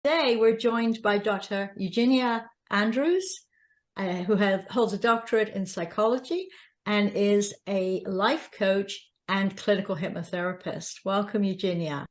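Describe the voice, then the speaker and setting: A woman's voice: mid-range voice, unhurried. Encouraging woman podcast co-host. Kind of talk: podcast interview.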